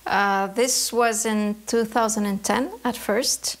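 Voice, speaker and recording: A woman's voice: on the high side, fairly even intonation, slow pace. Thoughtful woman from Canada. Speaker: embroidery artist interviewee. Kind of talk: reflective interview answers.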